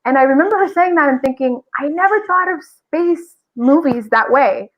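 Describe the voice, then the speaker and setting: A woman's voice: high-pitched, expressive, moderate pace, highs cut off. A woman talking reflectively and at length as a guest voice actor in a casual podcast interview.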